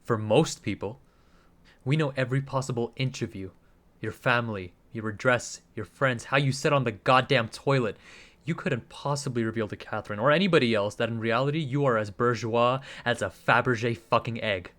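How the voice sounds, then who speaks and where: A man's voice: medium pitch, a bit bright, natural voice. Man acting a scornful, menacing interrogating agent. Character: hard, contemptuous male government agent interrogating an informant. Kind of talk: radio play acting.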